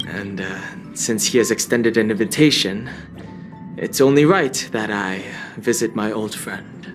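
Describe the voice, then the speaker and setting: Actor as a calm, courteous gentleman traveler, music behind. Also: lowish voice, unhurried, lightly acted. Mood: composed. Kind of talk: anime-style video game voice acting.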